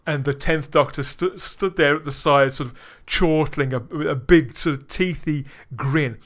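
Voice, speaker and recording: Brief: male podcast host, slightly higher voice, keen and chatty, keeps asking the others. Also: a little slow, a bit bright. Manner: enthusiastic, drawing in co-hosts with tag questions. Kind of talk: casual review discussion.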